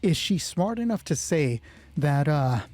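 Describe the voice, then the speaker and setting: Mellow-voiced man running a solo game. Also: a little high, big pitch swings, unhurried, no room sound. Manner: thinks aloud, swears casually. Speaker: solo roleplay game master. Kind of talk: casual improvised game narration.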